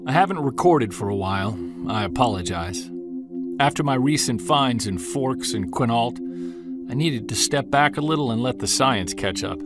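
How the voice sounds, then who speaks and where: A man plays an earnest, wry investigator in his forties, keeping a recorded journal for an audio drama. Music plays clearly under his voice. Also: medium pitch, lively intonation, moderate pace, lightly acted. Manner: earnest, wry, self-deprecating. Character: earnest mid-forties male Bigfoot investigator. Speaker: Bigfoot investigator narrating recordings.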